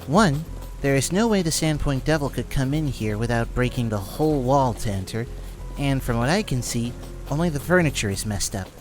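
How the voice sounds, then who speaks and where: A man voicing a sharp, sarcastic tiefling investigator in an audio drama, reasoning in a matter-of-fact way. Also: medium pitch, animated, moderate pace, soft background music, voice pushed up, close to an everyday voice. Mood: analytical.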